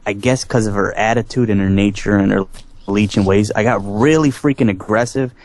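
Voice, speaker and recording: A man's voice: on the low side, a little fast, darkish tone. A man on a podcast reflecting and thinking aloud in a casual way.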